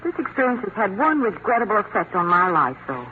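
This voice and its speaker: An actress playing a spirited, earnest young heroine in a dramatic old-time radio thriller. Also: female voice, medium pitch, sing-song, fast, close to an everyday voice. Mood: relieved, wry. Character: spirited, indignant young female companion of crime-fighter. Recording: tinny, noisy vintage radio recording.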